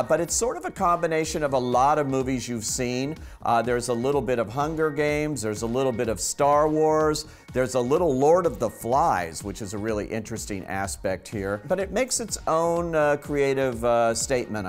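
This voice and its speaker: A man talks through a film review as a critic and host. His voice sits at an ordinary middle pitch, and faint music plays behind him. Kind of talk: conversational movie review.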